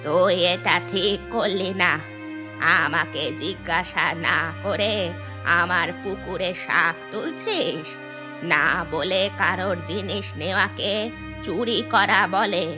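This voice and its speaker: Lady doing an old village grandma for a cartoon, scolding, kinda low voice, loud music under it. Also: slow. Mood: scolding, accusing. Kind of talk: cartoon story dialogue.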